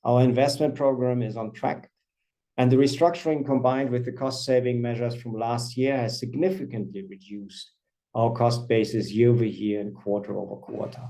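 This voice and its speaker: Measured fifties man reading figures. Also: medium pitch, steady tone, mellow, poor audio. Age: 50s. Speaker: company CFO. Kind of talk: earnings call presentation.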